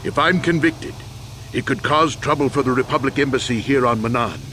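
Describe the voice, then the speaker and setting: An actor playing a proud, weary old war veteran accused of a crime, earnest and deliberate, in a video game. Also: male voice, mid-range voice, bright, forceful tone, a little hiss or hum, close to an everyday voice. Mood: persuasive. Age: older.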